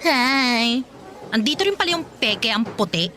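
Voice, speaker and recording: An actress with a Filipino accent playing a proud, feisty young eatery owner with sassy comebacks in a radio drama. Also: female voice, a little high, big pitch swings, measured pace, over loud music. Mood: sassy, defiant. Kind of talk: Tagalog radio drama acting.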